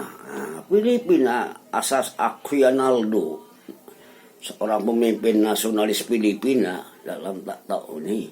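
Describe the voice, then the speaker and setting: Low, slow-paced man lecturing. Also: darkish tone. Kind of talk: informal explanatory lecture, reading out lists.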